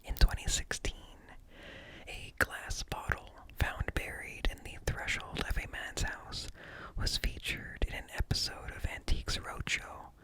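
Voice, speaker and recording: A man reading aloud in a hushed ASMR whisper, close to the microphone, pausing between short phrases. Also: low-quality recording. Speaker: ASMR creator reading encyclopedia articles aloud. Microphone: close-up microphone with ear-to-ear stereo.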